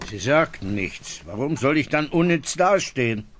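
Querulous old manservant, played by a man, swinging pitch. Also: lively intonation, normal speed, a bit bright, a little hiss or hum, close to an everyday voice. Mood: sullen, defensive. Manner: querulous, defensive, swinging into indignant whines. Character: grumbling, stubborn old manservant who talks back. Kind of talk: radio play acting.

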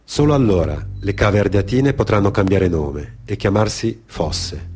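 A man performing a theatrical narrative monologue for radio, in a dramatic, deliberate manner with repetitions and weighted pauses. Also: fairly low pitch, lively intonation, a little mellow, breathy edge. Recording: music behind the voice. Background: music.

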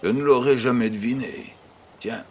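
An actor playing an affectionate, teasing old farmer grandfather who tells tales in an unhurried way in an audio drama. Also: medium pitch, fairly even intonation, slightly rough, close to an everyday voice. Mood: fond, teasing. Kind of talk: French-language audio drama acting.

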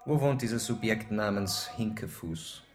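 An actor playing an older, well-to-do gentleman in a German radio play, courteous, formal and coolly probing. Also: fairly low pitch, a little slow, faint music behind, natural voice.

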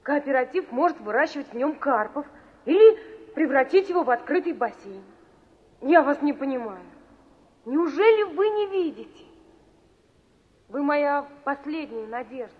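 A woman's voice: high voice, animated, normal speed, pauses often. An actress playing an earnest, insistent young woman who argues urgently and persuasively in a 1960s radio play. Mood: insistent pleading. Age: young adult. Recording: dull vintage radio recording.

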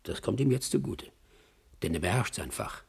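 An actor playing a reflective man who muses and explains as he reminisces, in a husky, gravelly voice, in a radio drama. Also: on the low side, sing-song, normal speed, full character voice. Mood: reflective, admiring. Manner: musing, explanatory. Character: reflective male raconteur reminiscing about actors.

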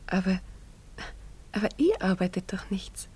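A woman's voice: mid-range voice, big pitch swings, measured pace, husky, full character voice. An actress in a radio drama playing an earnest, naive young village girl with a hesitant manner. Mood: wary, earnest. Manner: hesitant, earnest, often hushed. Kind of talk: German radio drama acting.

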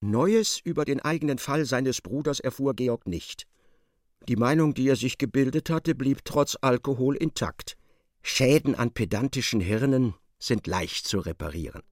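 A man giving expressive, articulate literary narration for a radio play, close on a studio microphone. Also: medium pitch, normal speed, dry recording.

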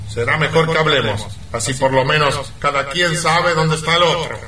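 An actor playing a bossy, irritable expedition leader in a theatrical, commanding way for a comic radio play. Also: male voice, fairly high pitch, normal speed, bright, forceful tone, echoey room, distant mic, slight background noise. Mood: bossy, irritated.

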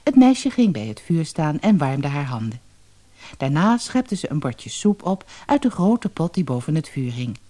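A woman storyteller giving an expressive, scripted fairy-tale narration. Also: low-pitched, a little slow.

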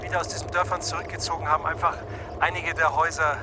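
A man with a slightly high voice gives a news report from the field. He speaks haltingly and descriptively, with very loud outdoor and vehicle noise around him. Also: little pitch movement. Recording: very noisy outdoor recording with vehicle.

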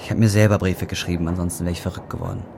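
A man's voice: sits low, steady tone, rapid-fire, mellow. An actor in his twenties playing a desperate, broke young man who swears in coarse slang, in naturalistic film drama style. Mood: flat, annoyed. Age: 20s. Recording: clearly noisy film location sound.

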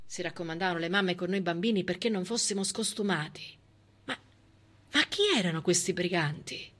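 A woman delivering a theatrical storytelling monologue in a dramatic, emphatic way with deliberate pauses. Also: fairly low pitch, a bit bright. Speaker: stage actress and narrator.

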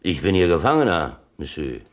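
A man playing a gruff, dry-witted German sea captain in prison in a radio play, blunt and laconic. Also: low-pitched, very animated, fairly quick. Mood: dry, guarded.

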